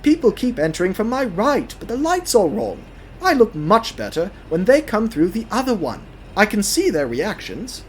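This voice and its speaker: A man's voice: high voice, sing-song, moderate pace, hardly any pauses, a little hiss or hum, voice pushed up. A man voicing a vain, chatty young steam engine in an audio drama, with a bubbly, grandiose and theatrical manner. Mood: preening, demanding. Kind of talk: audio drama character acting.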